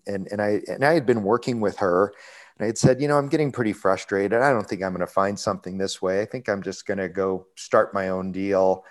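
Low-voiced man telling business stories. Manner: relaxed, rambling with many fillers and restarts. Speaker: restaurant executive guest. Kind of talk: conversational interview storytelling.

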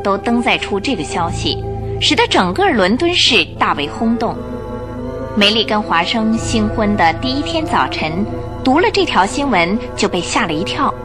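A woman narrating a radio drama in an expressive, measured storytelling manner. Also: mid-range voice. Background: music.

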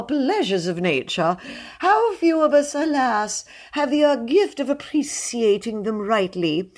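A woman voicing a pompous, high-voiced gentleman poet. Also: heavily acted. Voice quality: high-pitched, affected, hesitant with drawn-out ums.

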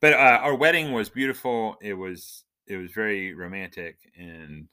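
A middle-aged man with a bright, punchy voice at an ordinary male pitch, slightly on the low side. He hosts a casual podcast and tells his stories chattily, building up his points with emphasis. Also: normal speed, smooth. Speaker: podcast host.

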